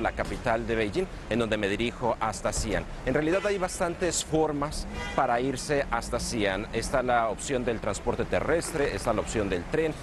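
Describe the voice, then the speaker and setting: A middle-aged man with a Mexican accent, a television news presenter hosting and narrating a travel documentary in a measured, polished broadcast manner. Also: on the low side, noisy background. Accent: Mexican Spanish. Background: music.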